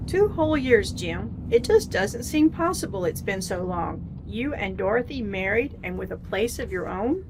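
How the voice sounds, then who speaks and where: A woman's voice: mid-range voice, very animated, moderate pace, few pauses, background noise. A woman acting in a radio play as a delighted, gushing young visitor, speaking emphatically. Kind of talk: old-time radio drama acting.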